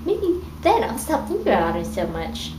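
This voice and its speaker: A woman's voice: mid-range voice, huge pitch swings, moderate pace, mellow. A woman voicing an earnest, nervous, lovestruck male superhero in a dramatic fan fiction reading. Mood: guilty, troubled. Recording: echoey room with clear background noise.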